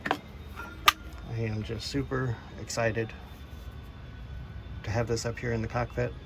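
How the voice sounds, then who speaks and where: A man with a mid-pitched voice talks casually as a vlog host, explaining hands-on project work. The recording has clear ambient noise behind him. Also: little pitch movement, slow pace. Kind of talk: casual DIY boat vlog narration. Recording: clearly noisy ambient background.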